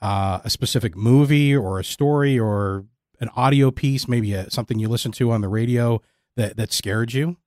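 Guy hosting a podcast interview, thoughtful chatty questions, dry sound, medium pitch. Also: normal speed, darkish tone, no room sound. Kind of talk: conversational interview.

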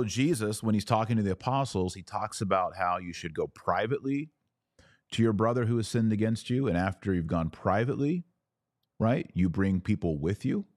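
A man's voice: lowish voice, a little mellow, dry recording, clean studio sound. A man hosting a religious commentary podcast, explaining things emphatically and didactically, often posing questions and answering them himself. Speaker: podcast host.

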